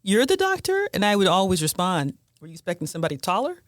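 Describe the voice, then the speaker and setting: A woman's voice: mid-range voice, very animated, quick pace, dry recording. Woman host in her fifties, physician, easygoing chat with laughs, close dry mic. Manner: chatty, laughs between remarks. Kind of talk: casual panel conversation.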